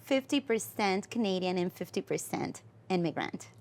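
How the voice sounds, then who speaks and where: A woman hosting an interview, asking questions in simple, sometimes halting phrasing. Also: mid-range voice, lively intonation, darkish tone. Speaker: host.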